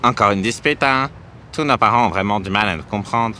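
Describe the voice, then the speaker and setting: A man's voice: on the low side, brisk, sharp tone, slight background noise. A man voicing a friendly, sympathetic teenage boy in a cartoon story, speaking reassuringly.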